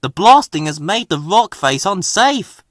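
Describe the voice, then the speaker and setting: Storybook character: man playing a proud, fussy, kindly little steam engine, high and forceful, calling out orders. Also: big pitch swings, unhurried, no room sound, studio quality, exaggerated voice. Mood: urgent warning. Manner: earnest, bossy, exclaiming commands.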